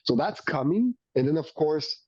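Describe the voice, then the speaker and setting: A man's voice: on the high side, big pitch swings, moderate pace, dark tone, slightly rough, dry recording. A man from Mexico speaks in a Mexican Spanish accent, eagerly laying out business plans. He repeats words as he builds each point. His voice comes through dull and completely dry, with no room sound. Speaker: beverage company executive, interview guest. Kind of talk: business interview, pitching plans.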